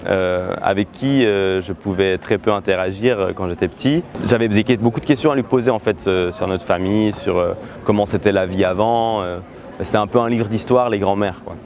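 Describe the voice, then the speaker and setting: A young man, answering interview questions about his music in a relaxed, hesitant way with many filler pauses. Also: on the low side, slight background noise. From Switzerland. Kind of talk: casual interview talk.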